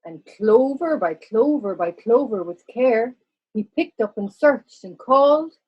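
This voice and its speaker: Lady reading a rhyming kids story, kinda high husky voice, muffled lo-fi sound. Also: lively intonation, unhurried. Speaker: storybook narrator. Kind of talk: scripted rhyming children's story narration.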